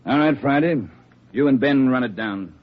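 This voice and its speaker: An American man acting a brisk, exacting chief of detectives in a 1950s radio drama, firm and clipped. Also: mid-range voice, lightly acted. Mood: firm, pressing. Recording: muffled vintage radio recording, faint noise.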